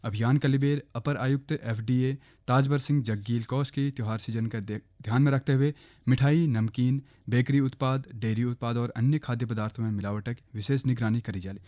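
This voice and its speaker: A man's voice: medium pitch, fairly even intonation, a little fast, mellow. A man with a Kumaoni accent reading a scripted regional radio news bulletin.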